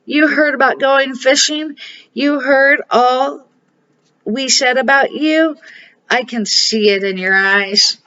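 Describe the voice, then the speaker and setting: A woman's voice: sits high, takes it slowly, pushed and bright, voice pushed up, full character voice. A woman voicing an affectionate, teasing mother bear in a storybook. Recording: dull, muffled home recording.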